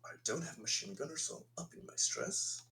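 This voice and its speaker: A man's voice: mid-range voice, expressive, brisk, creaky, sharp tone, poor audio. A man chatting casually and wryly as a player in a tabletop roleplaying game.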